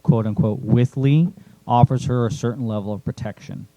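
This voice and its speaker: A man's voice: medium pitch, normal speed, mellow, dry recording, rough recording. A man talking casually in a group discussion of a piece of writing, as its author and a panelist.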